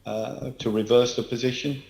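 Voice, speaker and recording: A man council officer answering a committee in measured, formal terms. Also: medium pitch, steady tone, vocal fry, darkish tone, reverberant, rough recording. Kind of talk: committee testimony.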